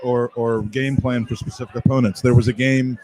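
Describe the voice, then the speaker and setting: A man's voice: mid-range voice, flat delivery, fairly quick, darkish tone. A man hosting a football podcast in a casual, chatty style. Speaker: podcast host. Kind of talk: casual sports chat.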